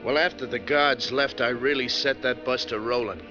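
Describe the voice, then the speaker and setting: An American actor playing a folksy, easygoing bus driver, narrating wryly in the style of 1940s radio crime drama. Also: male voice, medium pitch, normal speed, music in the background, close to an everyday voice. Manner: colloquial, wry. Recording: noisy, muffled 1940s radio recording.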